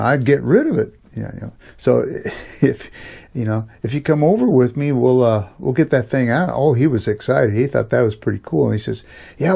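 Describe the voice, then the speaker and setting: An older American man telling a personal story casually in a rambling, halting, chuckling way, as host of a storytelling channel. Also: medium pitch, gravelly, darkish tone.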